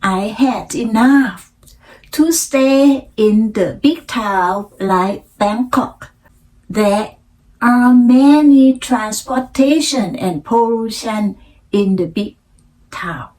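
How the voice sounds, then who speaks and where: An older woman from Thailand with a Thai accent, a native Thai speaker, telling her personal story in halting, deliberate learner English. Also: fairly high pitch, a little mellow, poor audio. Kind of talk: unscripted personal storytelling practice to camera.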